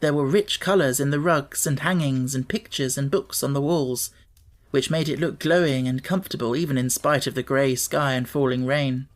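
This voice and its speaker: A man's voice: medium pitch, steady tone, normal speed. Male narrator for a children's classic audiobook, scripted storybook delivery.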